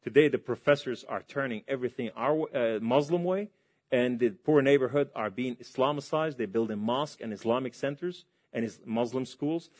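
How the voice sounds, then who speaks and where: Male preacher from Lebanon, mid-pitched voice, fervent testimony sermon, big swings in volume. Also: brisk. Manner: impassioned, swings between loud and hushed.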